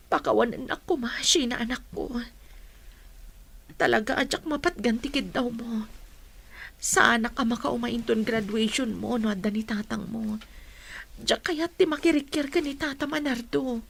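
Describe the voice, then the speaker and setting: A woman acts a troubled village mother, apologetic and sorrowful. Her voice sits fairly high, with an Ilocano accent and the emotive style of radio drama. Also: moderate pace, gravelly, a bit bright, slightly rough, full character voice. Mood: sorrowful, pleading.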